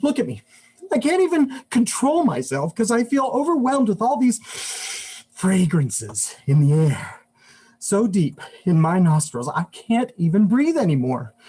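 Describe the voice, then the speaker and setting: A man's voice: high voice, huge pitch swings, a little slow, dark tone, breathy edge, exaggerated voice. A man performing a live monologue as an exasperated, overheated husband, complaining in a whining, dramatic, agitated way. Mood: overwrought, complaining. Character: exasperated, overheated husband ranting about crowded shopping.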